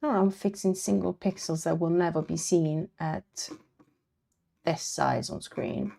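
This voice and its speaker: Low, croaky-voiced woman streamer. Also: steady tone, a little slow. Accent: Italian. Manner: quiet, murmured asides while working. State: slightly croaky voice. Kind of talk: casual live-stream chat while drawing.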